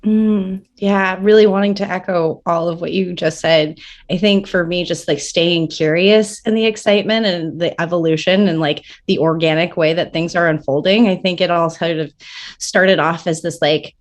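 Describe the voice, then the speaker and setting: A woman's voice: on the low side, fairly even intonation, moderate pace. A young woman co-hosting a podcast, talking reflectively and casually with many fillers. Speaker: podcast co-host.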